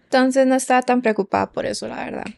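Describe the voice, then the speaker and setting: A young woman raised in Canada, chatting casually on a podcast as co-host, with lots of fillers and quick laughs. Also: high-pitched, steady tone, creaky, a little mellow, no room sound, studio quality.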